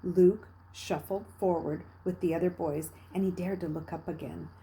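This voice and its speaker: A woman reading a children's novel aloud with expressive, dramatic narration, moving between hushed passages and emphatic bursts. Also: on the low side, normal speed, a little hiss or hum, low-quality recording. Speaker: read-aloud storyteller.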